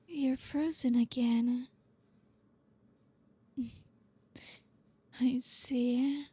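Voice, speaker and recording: A woman playing a flirty, dominant boss in an ASMR roleplay, teasing and commanding in a soft, deliberate, often whispered voice. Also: a little high, husky, no room sound, full character voice.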